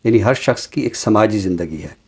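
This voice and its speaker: A man with a Pakistani accent giving reflective commentary like a teacher, measured and explanatory, with deliberate pauses. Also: on the low side, lively intonation, breathy edge. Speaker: teacher and programme guest. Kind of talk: reflective social commentary in an interview.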